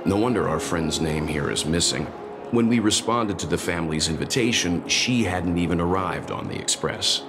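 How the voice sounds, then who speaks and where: An actor voicing a calm, dependable male traveler in a video game, measured and polite. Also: low voice, music in the background. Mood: calm, reasonable.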